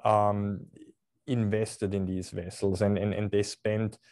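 Austrian man with a deep, dry voice. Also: level tone, takes it slowly, darkish tone, no echo. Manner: hesitant, many fillers and repeated words. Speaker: cruise company representative. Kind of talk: video call interview. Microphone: video call.

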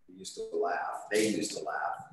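A man cooking on a studio kitchen set, explaining each step in a relaxed, chatty way. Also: low-pitched, moderate pace, crowd noise. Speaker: chef demonstrating a recipe. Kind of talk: live cooking demonstration. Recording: echoey kitchen with light background noise.

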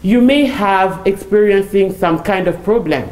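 A woman's voice: mid-range voice, unhurried, reverberant, low-quality recording. Fervent woman giving testimony. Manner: animated, fervent. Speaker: interview guest. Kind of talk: church testimony. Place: TV studio set.

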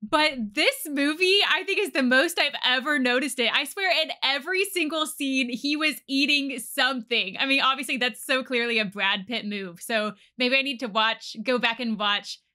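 A young woman, chatting casually and excitedly as she reacts on a desk microphone. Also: high voice, moderate pace, hardly any pauses. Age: young adult. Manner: excitable, expressive. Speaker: film reaction video host. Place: small decorated indoor studio room. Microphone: desktop USB microphone.

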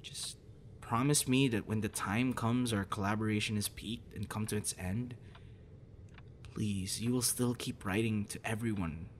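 A man playing a gentle, encouraging young voice actor in a soft, hesitant, reassuring ASMR boyfriend roleplay. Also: lowish voice, lightly acted. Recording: noticeable background noise.

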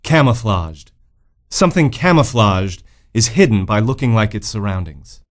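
A man's voice: on the low side, lively intonation, moderate pace. A man reading scripted educational narration as a vocabulary narrator.

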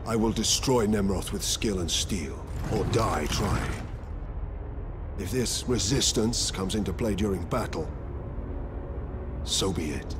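An actor playing a stern, resolute space marine captain in a video game, grave and commanding. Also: male voice, fairly low pitch, unhurried, long pauses, close to an everyday voice. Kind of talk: dramatic video game cutscene acting. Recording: music and effects behind.

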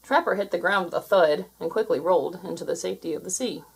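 Husky woman reading a children's story. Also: lowish voice, fast. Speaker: storybook reader. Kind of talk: children's story read aloud, scripted narration.

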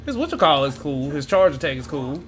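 A young man reacting to gameplay from a home room, excitable and swearing freely as he talks to his viewers. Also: on the high side, fast talker. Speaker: gaming YouTuber. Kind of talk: casual gameplay reaction commentary. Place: home room with gaming chair.

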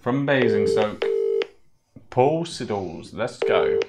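A man's voice: on the low side, lively intonation, takes it slowly, heavy background noise. A middle-aged British man co-hosting a live prize draw with casual, jokey chat. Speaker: competition live-draw co-host. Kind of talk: casual chatty banter. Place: indoor studio.

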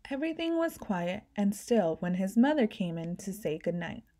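A woman reads a children's picture book aloud, narrating gently. She slips into lively voices for the characters. Her tone stays mellow and soft. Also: mid-range voice, normal speed. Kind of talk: read-aloud storytelling.

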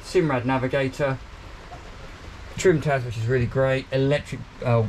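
A man's voice: mid-range voice, steady tone, normal speed, a little mellow, a little hiss or hum, poor audio. A man, giving an upbeat walkthrough tour of a small motor boat cabin, filmed on a handheld camera. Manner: chatty, upbeat, pointing out features as he moves. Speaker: boat reviewer.

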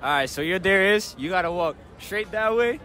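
A man's voice: sits high, expressive, moderate pace, bright, forceful tone. A young man behind the camera setting dares, bossy and laughing, outdoors at night in a city square.

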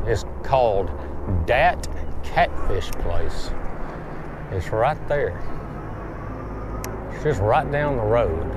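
A man's voice: medium pitch, animated, extremely slow, pauses often. A middle-aged man chatting casually while riding a bike outdoors on a road, thinking aloud with fillers and restarts. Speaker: vlogger. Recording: loud road and wind noise outdoors.

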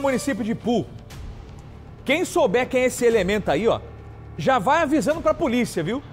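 A man from anchoring a crime news show, talking emphatically and indignantly straight to viewers. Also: extremely high pitch. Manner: indignant, repeats and addresses viewers directly. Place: TV studio.

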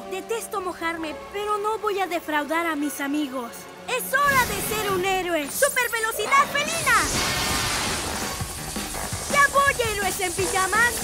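An actor voicing a brave, boastful six-year-old superhero boy in a cartoon dub, earnest and heroic, with a Latin American accent. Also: very high voice. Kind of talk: dubbed children's cartoon acting. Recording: loud music behind the voice.

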